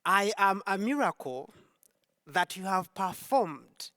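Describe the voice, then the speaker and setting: A woman's voice: mid-range voice, lively intonation, slow pace, fairly bright tone, clean studio sound. A woman from Uganda, giving an emphatic, heartfelt personal testimony on a concert hall stage, repeating key phrases for weight. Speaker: speaker at a Pride event.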